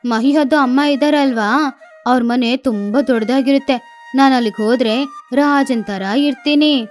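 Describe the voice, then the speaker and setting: A woman's voice: high-pitched, moderate pace, soft background music, close to an everyday voice. A woman voicing a selfish, boastful young boy parrot in a cartoon. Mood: greedy, smug. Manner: self-assured, boastful.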